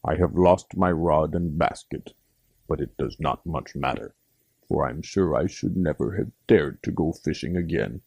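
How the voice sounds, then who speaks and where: Man putting on a very deep gravelly gentleman frog. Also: unhurried, no room sound, heavily acted. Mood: relieved, resigned. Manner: formal, genteel phrasing. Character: small gentleman frog angler, fussy and proper.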